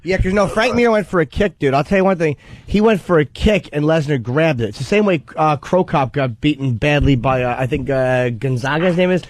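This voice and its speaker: Higher-voiced male co-host, dry studio sound. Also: brisk, no echo. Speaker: radio show co-host. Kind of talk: casual chat about fights.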